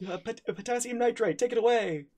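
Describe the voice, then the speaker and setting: A man's voice: very high voice, quick pace, a little mellow. Stammering joking man hosting a game. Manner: stammering restarts, joking, laughs a lot. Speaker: game master hosting a charity stream. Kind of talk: casual chat, introducing players.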